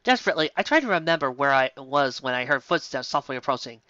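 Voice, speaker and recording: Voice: medium pitch, big pitch swings, fast, bright, forceful tone, no echo, pitched below the performer's own voice. A reader playing a melodramatic, self-important young heroine narrating a story with solemn, overwrought delivery, slightly lo-fi. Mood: weak, dreamy.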